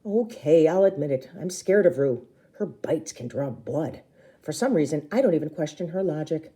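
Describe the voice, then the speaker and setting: A woman reading a children's book aloud in an expressive, theatrical way, acting out the dialogue. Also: sits low, moderate pace. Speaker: storybook reader.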